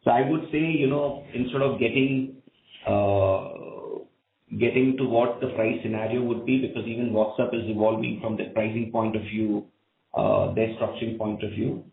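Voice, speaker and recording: A man's voice: fairly low pitch, fairly even intonation, normal speed, gravelly, a little hiss or hum. A man speaking as a company executive on an earnings call, explaining business results and often checking in with "right?". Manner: frequent "right?" check-ins. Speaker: company executive answering analysts. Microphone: conference call line. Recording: phone-like narrow band, echoey conference line.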